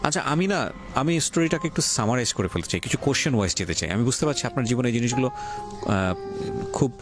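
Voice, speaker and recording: A man with a Bangladeshi accent hosting a radio talk show, questioning deliberately and mixing in English words. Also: medium pitch, expressive. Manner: deliberate, mixes English words into his speech. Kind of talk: probing interview, addressing guest and listeners. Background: music.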